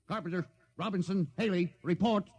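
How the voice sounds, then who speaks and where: An actor playing an embattled starship captain in a 1950s radio drama, speaking with dramatic urgency. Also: male voice, high-pitched, normal speed, vocal fry, husky, exaggerated voice. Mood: defiant, alarmed. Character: embattled male starship captain, defiant and anguished. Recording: noisy vintage 1950s radio recording.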